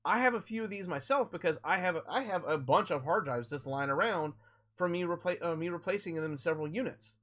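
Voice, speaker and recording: A man's voice: high voice, expressive, fairly quick, fairly bright tone. A man streaming a game and chatting with viewers, rambling through explanations with frequent restarts. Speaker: gaming live streamer. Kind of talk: casual live-stream chat answering viewers.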